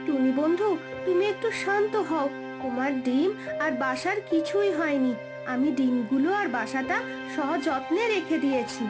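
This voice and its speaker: Woman voicing a high-pitched, kind mermaid heroine. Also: lively intonation, measured pace, loud music behind the voice. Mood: caring. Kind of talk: Bengali fairy-tale cartoon dubbing.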